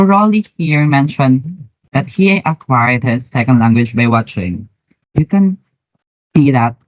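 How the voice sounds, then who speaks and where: A man with a Filipino accent hosting an educational podcast in a deliberate, formal way, introducing and questioning guests from prepared notes. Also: medium pitch, no echo, rough recording. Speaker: podcast host.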